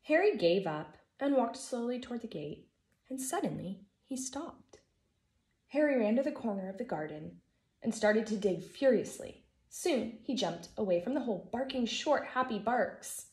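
An American woman in her thirties, a first grade teacher reading a children's storybook aloud in an expressive, emphatic way. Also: fairly high pitch, normal speed. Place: living room at home, indoors. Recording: echoey room.

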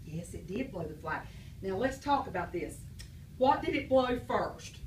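A woman's voice: mid-range voice, big pitch swings, a little slow, darkish tone, a little hiss or hum. A woman teacher, quizzing and praising a class of young children after reading aloud. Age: adult. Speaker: preschool teacher. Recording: echoey classroom from far off.

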